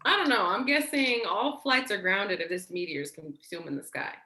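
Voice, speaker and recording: A woman's voice: mid-range voice, very animated, normal speed, pushed and bright. A woman acting a blunt, teasing, protective young woman in a staged play reading, with casual, sweary, sardonic delivery. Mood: wry. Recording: roomy, echoey sound.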